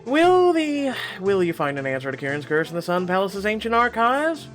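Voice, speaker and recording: A man's voice: high-pitched, very animated, moderate pace, fairly bright tone, faint music behind. Middle-aged man, rambling and wry. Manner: rambling, many fillers, wry. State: throat slightly constricted. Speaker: game channel host. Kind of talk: reading a story game aloud with asides.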